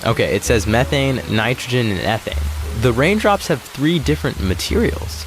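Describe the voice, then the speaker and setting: A man's voice: mid-range voice, animated, moderate pace, lightly acted, rough recording. An actor playing an earnest, literal-minded male astronaut in an audio sci-fi drama, hesitant and full of puzzled questions. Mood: bemused, practical. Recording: music behind the voice.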